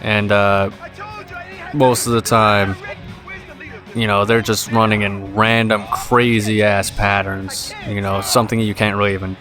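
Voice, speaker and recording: A young man giving casual gameplay commentary, tired and rambling in a monotone voice. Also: fairly low pitch, unhurried, a crowd in the background. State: exhausted. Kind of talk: casual let's play commentary. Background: game music.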